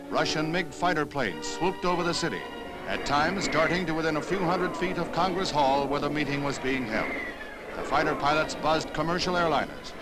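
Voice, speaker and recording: A man's voice: a little high, low-quality recording. A man with an American accent narrating a 1960s newsreel in a formal, declarative announcer style. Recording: 1960s newsreel soundtrack with music. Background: music.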